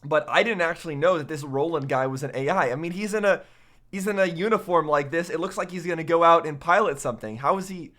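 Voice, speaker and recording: A man giving casual reaction commentary in a halting, musing way. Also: fairly high pitch, fairly bright tone. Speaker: reaction video host.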